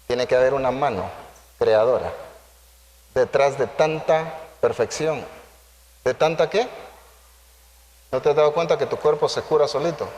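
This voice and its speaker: A man with a Central American accent preaching conversationally to a congregation, animated and playful, full of rhetorical questions and acted-out dialogue. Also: medium pitch, unhurried, long pauses. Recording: echoey hall with long reverb.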